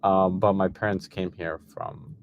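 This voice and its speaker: A young man in his early twenties, chatting loosely and fast, scattering "like" through his sentences. His voice sits a little low, with faint background noise behind it. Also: little pitch movement, dull audio. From United States. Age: 20s. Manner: quick, loose, lots of "like". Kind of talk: casual conversation.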